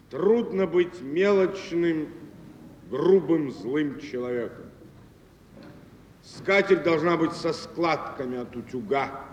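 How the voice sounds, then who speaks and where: An actor playing a lofty, wistful man who philosophizes in a deliberate, theatrical way on stage. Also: a little high, a little hiss or hum. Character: man philosophizing on living wisely and without fuss, lofty, wistful. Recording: echoey stage hall, plucked guitar music.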